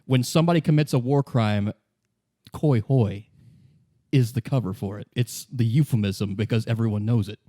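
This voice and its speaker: A man with a medium-pitched voice runs a tabletop roleplaying game as its game master. He narrates and explains the setting, recorded close with hardly any room sound. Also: normal speed, warm tone, no room sound.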